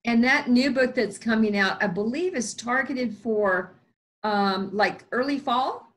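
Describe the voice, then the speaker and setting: A woman's voice: a little high, a little slow. A woman hosting an interview, asking friendly, encouraging questions that ramble a little. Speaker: interviewer, host. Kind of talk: casual interview.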